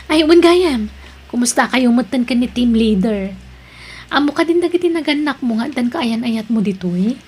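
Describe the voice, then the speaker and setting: A woman's voice: on the high side, expressive, moderate pace, darkish tone, husky. A woman playing a chatty, devout and caring friend in an Ilocano radio drama. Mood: caring, curious.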